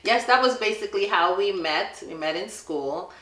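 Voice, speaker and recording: A middle-aged woman with a Jamaican accent, telling her son stories in a relaxed family question-and-answer chat and laughing often. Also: medium pitch, moderate pace, echoey room, low-quality recording. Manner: warm storytelling, laughs often.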